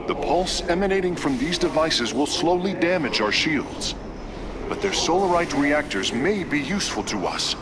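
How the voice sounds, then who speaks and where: An actor playing a logical, analytical alien scientist in a video game, with formal, measured, clinical delivery. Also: male voice, on the high side, lively intonation, natural voice. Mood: clinical, intent. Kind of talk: video game mission dialogue. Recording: loud battle noise behind.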